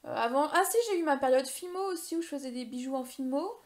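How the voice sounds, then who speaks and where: High-voiced chatty woman in her thirties. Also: quick pace. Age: 30s. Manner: chatty and rambling, lots of hesitations, self-mocking humor. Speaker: beauty YouTuber. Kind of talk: casual Q&A vlog.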